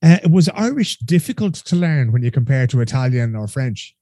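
A man's voice: medium pitch, sing-song, normal speed, warm tone. A man hosting a podcast, asking a guest friendly, easygoing questions. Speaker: podcast host. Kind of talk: interviewing a guest.

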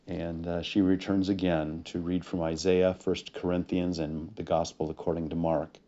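A man speaking as a pastor in prayer and sermon introduction, with solemn, deliberate phrasing. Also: sits low, level tone, dark tone. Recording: dull, muffled lo-fi recording.

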